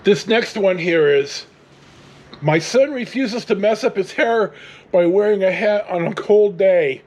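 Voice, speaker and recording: A man's voice: high-pitched, measured pace, darkish tone. A middle-aged American man tells rambling casual stories in a blunt, salty way. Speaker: storyteller vlogger.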